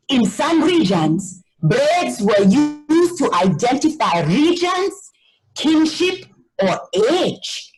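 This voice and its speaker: A woman reading a children's story aloud as an expressive scripted narration. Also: fairly high pitch, poor audio.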